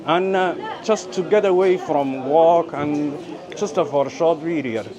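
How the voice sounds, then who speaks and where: Male travel vlogger from Birmingham, UK. Casual, halting delivery with repeats, recorded amid clear crowd bustle. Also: on the high side, lo-fi sound. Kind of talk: casual vlog chat and narration. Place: out and about in a city. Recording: busy street and cafe chatter behind.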